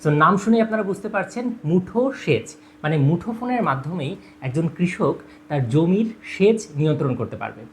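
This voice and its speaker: A man's voice: fairly high pitch, big pitch swings, fairly quick. A man narrating a product demonstration, explaining step by step and addressing viewers directly. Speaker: product presenter. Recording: clear background noise, ambient sound.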